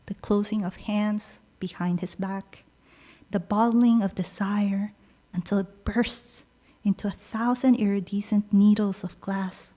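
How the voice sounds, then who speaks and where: Brief: woman poet, Korean native, reading her verse slowly, mid-range pitch. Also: fairly even intonation. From Peru. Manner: slow, measured delivery with soft pauses between lines. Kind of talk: poetry reading.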